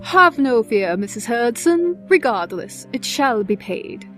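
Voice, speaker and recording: Woman doing posh-mannered smug big sister pony, government type, highish voice, very composed, light music behind. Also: sing-song, a little slow, a bit bright. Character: smug, refined elder-sister pony, powerful government adviser. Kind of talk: scripted audio drama acting.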